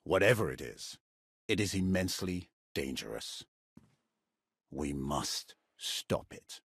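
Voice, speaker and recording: Menacing dutiful warrior, formal, solemn, husky, expressive pitch, video game cutscene. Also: male voice, no room sound, clean studio sound, exaggerated voice. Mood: grave. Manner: formal, solemn, deliberate phrasing. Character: grave, dutiful male Sith warrior ally, menacing and formal.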